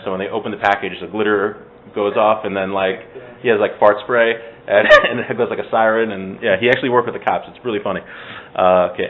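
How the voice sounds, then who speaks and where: A man giving an informal religious class as its teacher, conversational, explaining texts with everyday examples. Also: fairly low pitch. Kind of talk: informal religious lecture with Hebrew source readings translated. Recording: muffled phone-like audio with faint background noise.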